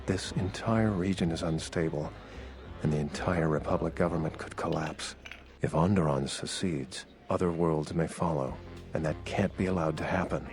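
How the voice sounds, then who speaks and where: A man voicing an earnest, guarded political insider in a video game, measured and formal. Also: a bass voice, music in the background. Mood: grave, earnest. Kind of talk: video game character dialogue.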